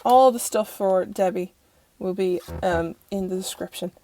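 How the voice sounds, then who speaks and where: A young Irish woman with a croaky voice from recent illness, chatting rambly through a casual review in her bedroom. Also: mid-range voice, unhurried, dark tone, low-quality recording. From Ireland. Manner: chatty and rambling, self-interrupting. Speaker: tarot channel host. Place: bedroom at home.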